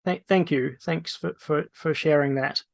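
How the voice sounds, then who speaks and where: A man answering audience questions at an online political meeting, talking in a halting way with many restarts and fillers over a video call microphone. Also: a little high, fairly even intonation, gravelly. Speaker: main speaker answering questions.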